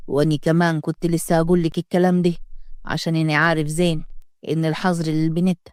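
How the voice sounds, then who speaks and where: A woman's voice: low voice, little pitch movement, fast, darkish tone, no room sound, studio quality, natural voice. A woman voicing a strict, domineering father, giving curt orders in a storybook reading. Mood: stern. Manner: commanding, curt, lays down rules. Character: stern domineering Upper Egyptian man, strict father.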